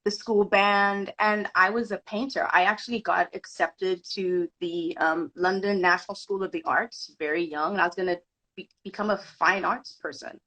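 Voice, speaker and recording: A woman's voice: medium pitch, steady tone, moderate pace, bright, forceful tone, poor audio. A woman from Zimbabwe, a guest actor and director, telling her story in a podcast interview with frequent restarts.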